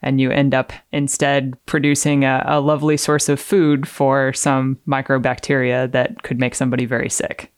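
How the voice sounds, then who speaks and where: A woman's voice: a bass voice, little pitch movement, moderate pace, few pauses, clean tone, dry recording. Female science podcast guest, careful diction on technical readings, conversational when explaining, dry close recording.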